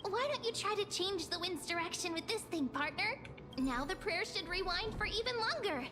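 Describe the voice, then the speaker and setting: A woman's voice: extremely high pitch, lively intonation, normal speed. A woman voicing a small, eager, reassuring girl companion in a video game, with an encouraging manner. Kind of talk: scripted video game voice acting. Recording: clear game music behind.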